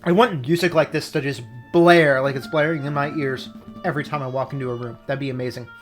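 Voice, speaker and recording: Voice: medium pitch, steady tone, fast, soft background music. A game player giving casual commentary while reading a story game aloud. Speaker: video game player and narrator. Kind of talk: casual let's play commentary.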